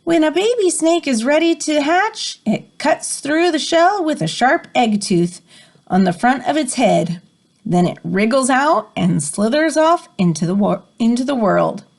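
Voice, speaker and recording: A woman's voice: high-pitched, animated, unhurried, creaky. A woman reading a picture book aloud like a teacher, expressively and with dramatic emphasis, adding short explanatory asides.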